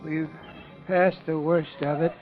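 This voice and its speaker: An actor playing a feverish, dying bearded sailor who mutters weakly and raves in delirium, in 1940s radio acting. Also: male voice, fairly high pitch, little pitch movement, slow, faint music behind. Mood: weak, pleading. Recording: dull lo-fi old radio recording.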